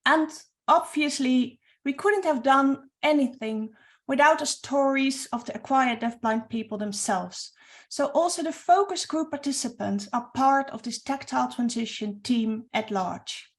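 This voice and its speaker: A woman with a high voice and a Norwegian accent presents a webinar as project coordinator. Norwegian is her first language, and her English is earnest and a little halting, with occasional restarts.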